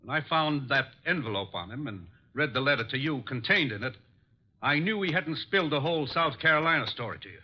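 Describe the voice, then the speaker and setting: A man's voice: medium pitch, animated, fairly quick, close to an everyday voice. An actor playing a cold, desperate gunman and murderer in an old radio drama, menacing and clipped. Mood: cold threat. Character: cold, desperate gun-toting murderer holding detectives captive. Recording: dull, band-limited vintage radio recording.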